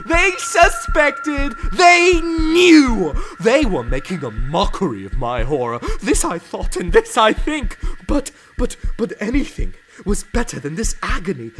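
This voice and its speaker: A man giving an intense, theatrical first-person dramatic reading of a classic tale. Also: extremely high pitch. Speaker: narrator. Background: music.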